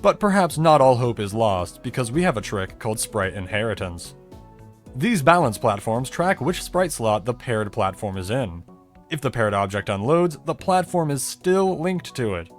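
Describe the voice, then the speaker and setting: Man narrating with lively, swinging intonation over music. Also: mid-range voice, moderate pace. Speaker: video creator narrating a technical game explainer. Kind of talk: scripted explanatory narration. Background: music bed under the voice-over.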